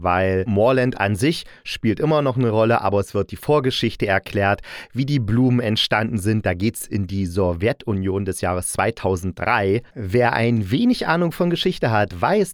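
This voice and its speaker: Male podcast host, lowish voice, wry and playful reviewer, dry close recording. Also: no room sound. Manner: jokey, self-ironic. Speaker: podcast host and audio drama critic.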